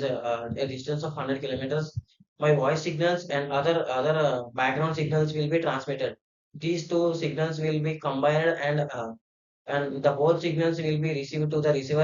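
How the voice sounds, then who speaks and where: A man giving a hesitant, unscripted explanation, with many fillers and restarts. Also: fairly high pitch. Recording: roomy, dull lo-fi sound.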